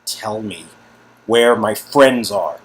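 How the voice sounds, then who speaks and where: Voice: medium pitch, slow pace, natural voice, rough recording. A game story reader voicing an angry, defiant male prisoner under interrogation. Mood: furious.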